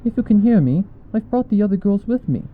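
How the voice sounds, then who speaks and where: A man's voice: sits high, lively intonation, fairly quick, narrow, tinny audio, voice pushed up. A man voicing an anxious, genteel female pony with polite, refined phrasing in a fan story reading. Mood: anxious, worried.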